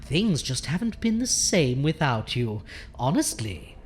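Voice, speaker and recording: A deliciously ghoulish horror hostess with a deep, lively voice. She is arch and theatrical, slipping into wry, chatty asides on a radio show with faint noise behind her. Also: a little slow, exaggerated voice. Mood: campy welcoming. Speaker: host. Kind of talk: campy horror radio drama hosting.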